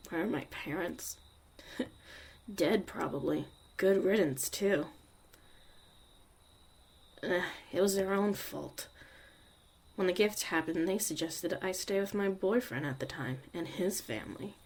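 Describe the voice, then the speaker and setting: A woman playing a jaded, blunt young witch mother in a soft, sardonic ASMR roleplay about surviving the apocalypse. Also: lowish voice, takes it slowly, long pauses, slightly rough, full character voice. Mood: cold, bitter. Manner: sardonic, casual, swearing, dismissive.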